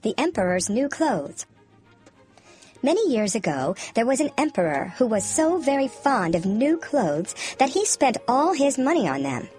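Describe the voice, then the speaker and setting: Woman narrating a fairy tale, lively intonation. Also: fairly high pitch, normal speed, slightly rough. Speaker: storyteller. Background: background music.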